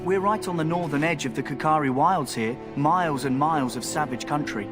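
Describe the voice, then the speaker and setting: An actor playing a cheeky, wary rogue recruit in a fantasy game, with an informal, streetwise way of talking. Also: male voice, on the high side, fairly quick, lightly acted. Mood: wry, wary. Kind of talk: video game dialogue. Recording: game music behind the voice.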